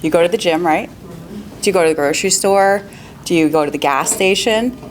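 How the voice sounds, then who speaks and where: A woman's voice: lowish voice, a little fast, a little hiss or hum. A woman, a real estate agent on a panel, giving upbeat, practical advice with frequent laughs and questions to the audience.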